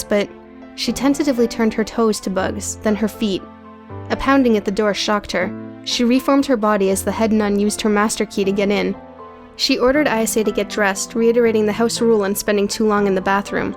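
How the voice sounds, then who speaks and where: A woman reading scripted narration for an audio book. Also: medium pitch. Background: music.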